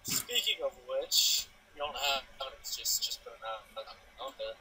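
A man's voice: medium pitch, expressive, a little slow, bright, forceful tone. Young guy ribbing his buddy, sounds far from the mic, noisy line. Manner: teasing, rambling. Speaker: gaming friend. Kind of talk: casual gaming chat. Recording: distant mic with clear noise.

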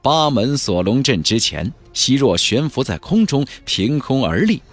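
Expressive male storyteller, sweeping pitch over music. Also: unhurried. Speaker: audiobook narrator. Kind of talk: dramatic scripted narration of a fantasy novel. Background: background music.

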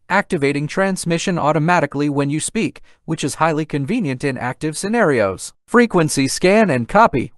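A man's voice: on the high side, dry recording, studio quality. A man reading a scripted product review voice-over in a polished, promotional manner. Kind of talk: scripted narration.

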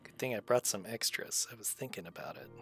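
Very low-voiced woman doing gameplay commentary. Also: expressive, brisk, music in the background. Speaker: gaming video host playing alongside a child. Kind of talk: casual gameplay commentary for kids.

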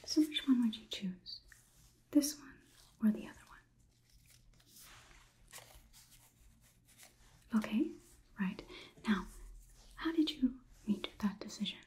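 A young woman performing an ASMR roleplay as a calm robot overlord, whispering in a measured, inquisitive, slightly condescending manner. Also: fairly high pitch, natural voice. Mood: quietly probing. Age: young adult. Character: calm, clinical robot overlord examining a human. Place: small indoor room.

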